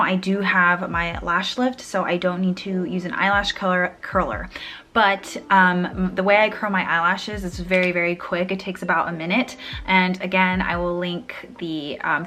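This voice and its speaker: A woman's voice: medium pitch, fairly bright tone. A young woman chatting casually through a makeup tutorial, a little sniffly, rambling and trailing off mid-sentence. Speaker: beauty vlogger.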